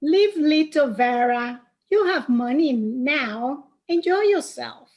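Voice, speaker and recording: A woman's voice: high-pitched, animated, slow pace, a little mellow, highs cut off, full character voice. A woman playing a sultry, disdainful femme fatale in a campy noir radio play, languid and theatrical. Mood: breezy, dismissive.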